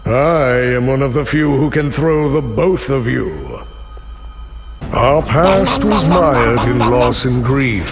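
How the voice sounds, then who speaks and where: Game villain-hero, proud older mutant ruler: gravelly, sweeping inflection, theatrical and formal. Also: male voice, mid-range voice, animated, slow pace, narrow, tinny audio, music in the background. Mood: dry, imperious. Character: aging male mutant leader, proud, commanding, grandiose. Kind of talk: video game character dialogue.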